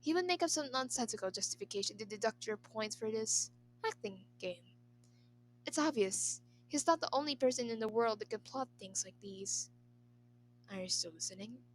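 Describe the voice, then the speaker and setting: A woman's voice: on the high side, lively intonation, moderate pace, a little hiss or hum. A woman narrating a scripted story as an amateur reader. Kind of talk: scripted narration of a fan fiction.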